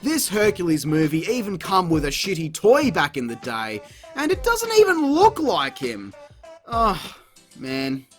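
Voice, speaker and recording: A man's voice: high voice, huge pitch swings, unhurried. A man delivering scripted comedic review commentary in a sarcastic, exasperated, sweary manner. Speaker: video reviewer, host. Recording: music playing behind the voice. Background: background music.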